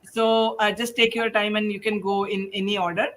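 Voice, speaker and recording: Woman, panel host, bright tone, halting phrasing, informal, quick to laugh. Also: mid-range voice, flat delivery. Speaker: podcast host and panel moderator.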